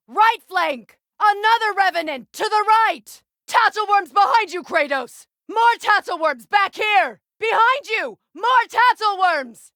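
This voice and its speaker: A fierce game warrior woman, really high bright voice, shouting short urgent battle callouts. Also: no room sound, clean studio sound, full character voice. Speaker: video game voice actor. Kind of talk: combat callouts for an action game.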